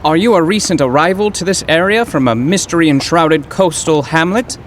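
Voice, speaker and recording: An actor playing an earnest, awkward poetry professor, flustered and florid, in old-time radio drama style. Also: male voice, fairly high pitch, moderate pace, slight background noise, lightly acted. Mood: hopeful, curious.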